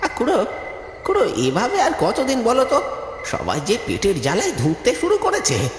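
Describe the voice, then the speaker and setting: A man's voice: very high voice, huge pitch swings, moderate pace, a little hiss or hum, pitched above the performer's own voice, full character voice. A man voicing a worried, inquisitive young village fisherman who wheedles and pleads in a cartoon. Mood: worried, plaintive. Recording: echoey reverb with music behind.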